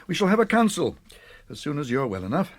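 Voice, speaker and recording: A British man, an older actor playing a wise, grave but kindly old wizard in a radio drama, with a measured, authoritative delivery. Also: on the high side, very animated, dry recording.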